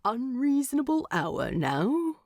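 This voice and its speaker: A woman voicing a commanding male dwarf king, gruffly fond and dryly teasing, in a fantasy storybook. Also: high-pitched, very animated, slow pace, a little mellow, no echo, studio quality, pitched above the performer's own voice, exaggerated voice.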